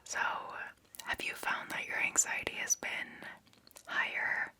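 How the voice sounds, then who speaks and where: Whispering young woman, gentle and soothing. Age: 20s. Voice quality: soft whisper. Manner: gentle, soothing, unhurried questioning. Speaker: ASMR performer playing a therapist. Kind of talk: whispered ASMR roleplay of a therapy session. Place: small cozy indoor room. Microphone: close ASMR microphone, just off camera.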